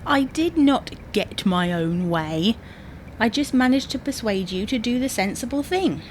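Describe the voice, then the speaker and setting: A British wife acted by a woman with a fairly high voice, exasperated and bossy. She nags briskly and insistently, as in a domestic radio comedy. Clear vehicle noise runs behind her. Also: lively intonation. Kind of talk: domestic comedy audio drama. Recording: noisy, car ambience.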